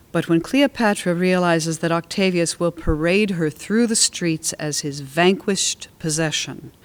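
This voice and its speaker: Woman narrating a staged play reading. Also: lowish voice.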